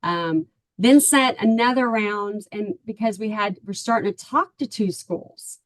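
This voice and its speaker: Chatty Texan mom, dry close sound. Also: female voice, medium pitch, unhurried, dry recording, low-quality recording. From Texas, USA. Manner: chatty and earnest, many ums and tag "right?". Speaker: parent of a baseball player, client guest. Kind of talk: casual interview storytelling.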